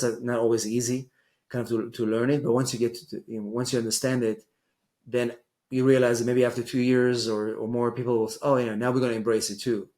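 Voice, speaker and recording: A man's voice: mid-range voice, darkish tone. A middle-aged man with an Israeli accent, an immigration attorney answering interview questions with frequent ums and restarts. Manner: hesitant, many fillers and restarts. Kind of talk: casual interview conversation.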